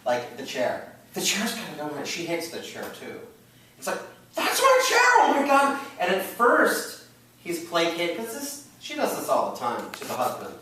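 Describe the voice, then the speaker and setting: A man's voice: on the high side, very animated, moderate pace, sharp tone. A man giving casual comments in a group discussion, in an echoey room. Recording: echoey room, far from mic, faint noise.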